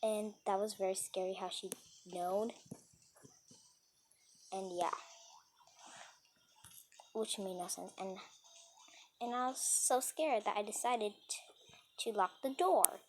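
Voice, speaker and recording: A woman's voice: medium pitch, slow pace, long pauses. A woman casually telling an improvised story, rambling and repeating phrases as she dramatically retells who said what. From New York City, United States. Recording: noisy amateur home recording.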